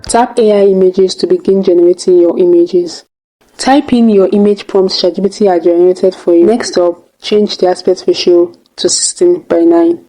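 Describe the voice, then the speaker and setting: A woman's voice: lowish voice, fairly even intonation, normal speed, mellow, soft background music, poor audio. A woman hosting a tutorial, narrating step by step in a casual way. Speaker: tutorial host.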